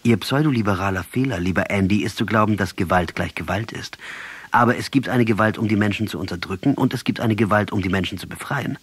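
A defiant guerrilla revolutionary in his late thirties for a radio drama. His voice is fairly low and husky, recorded dry. He speaks with sardonic, rhetorical bite. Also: fast talker, dry recording. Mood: defiant, lecturing. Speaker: radio play actor.

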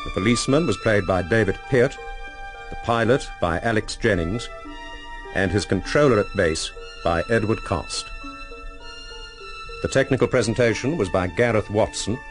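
Low-voiced radio announcer, a man. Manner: formal, measured. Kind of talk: scripted broadcast announcement. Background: music.

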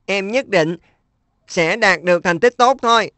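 Woman as kind big-brother schoolboy, cartoon dub: steady, encouraging, dry. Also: mid-range voice, a little slow, no room sound, lightly acted. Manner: reassuring, coaxing.

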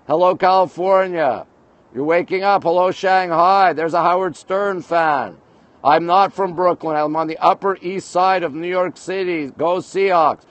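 A man's voice: high-pitched, steady tone, measured pace, dry recording, muffled recording. Chatty Montreal-born man streaming from a balcony. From Montreal, Canada. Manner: chatty, greets viewers by place, reads comments aloud. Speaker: livestream host. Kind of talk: casual livestream chat greeting viewers. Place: high-rise apartment balcony at night, city below. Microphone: phone camera held by speaker.

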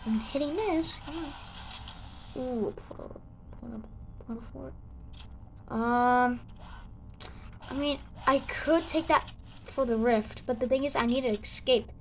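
A young girl with a sore throat, rambling casually through gameplay commentary with halting restarts and sudden excited outbursts. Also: high-pitched, slight background noise, low-quality recording. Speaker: gaming channel host.

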